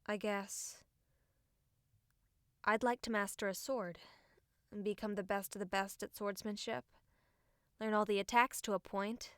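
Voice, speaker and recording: A woman's voice: mid-range voice, steady tone, measured pace, pauses often, fairly bright tone, no room sound, clean studio sound, natural voice. A woman voicing a guarded, prickly young female sword fighter with a matter-of-fact manner in an audiobook. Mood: guarded, earnest.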